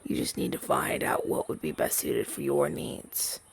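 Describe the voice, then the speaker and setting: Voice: mid-range voice, very animated, normal speed, creaky, slightly rough, full character voice. A man voicing a shy, earnest crow familiar in an ASMR roleplay, hesitant and rambling, with stammers. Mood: earnest, explaining.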